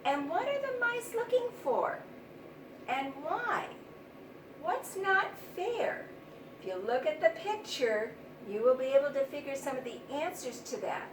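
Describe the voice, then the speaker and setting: A woman teaching young children, explaining things simply and deliberately with plenty of repetition. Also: high voice, lively intonation. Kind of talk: read-aloud vocabulary lesson for young children. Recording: roomy, distant mic, noisy background.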